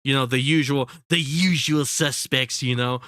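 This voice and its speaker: A man's voice: mid-range voice, moderate pace, bright, forceful tone, dry recording, clean studio sound. A man commentating casually on a live stream, sounding congested, rambling with lots of fillers and swearing. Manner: rambling, profane, full of fillers and restarts.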